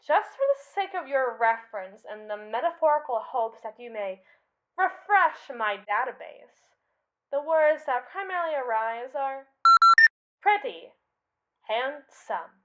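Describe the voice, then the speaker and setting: A woman's voice: on the high side, phone-quality sound. A woman voicing a haughty, jealous robot assistant in an ASMR roleplay, speaking in pompous, sardonic, formal phrases.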